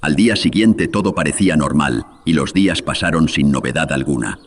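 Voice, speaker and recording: A man's voice: extremely low pitch, fairly quick, breathy edge. A man giving solemn, literary narration of a horror tale, his voice processed with studio filters. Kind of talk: scripted horror story narration.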